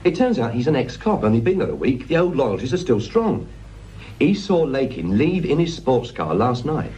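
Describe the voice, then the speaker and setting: An actor playing an idealistic, insistent young British police detective in a radio drama. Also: male voice, medium pitch, big pitch swings, normal speed, warm tone, close to an everyday voice. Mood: urgent, insistent. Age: young adult. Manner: urgent, insistent. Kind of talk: naturalistic radio drama acting. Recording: radio recording with faint noise.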